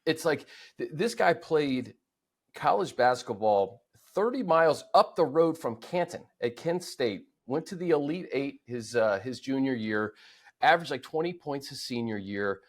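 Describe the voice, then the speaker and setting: A man's voice: medium pitch, lively intonation, moderate pace. An American man with a mid-range voice hosts casual sports talk. He speaks conversationally, leaning on key words for emphasis as he runs through stats and takeaways. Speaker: sports podcast host.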